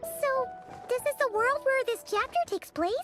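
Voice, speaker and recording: A squeaky, very high fairy sidekick. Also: female voice, moderate pace, over loud music. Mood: puzzled. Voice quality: squeaky, childlike. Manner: teasing, animated. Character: small chirpy female fairy companion, cheeky and teasing. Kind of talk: video game voice acting.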